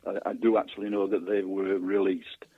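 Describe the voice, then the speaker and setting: A man being interviewed as a guest author and historian, speaking casually and haltingly, often repeating words mid-sentence. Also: deep voice, little pitch movement, breathy edge. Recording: muffled, narrow phone-line sound with echo.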